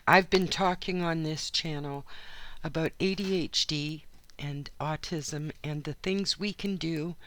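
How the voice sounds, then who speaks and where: A hoarse woman hosting a craft channel, chatting in a rambling way with plenty of asides and fillers from her home craft studio. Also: low-pitched, takes it slowly, sharp tone, no echo. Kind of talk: casual chat while crafting.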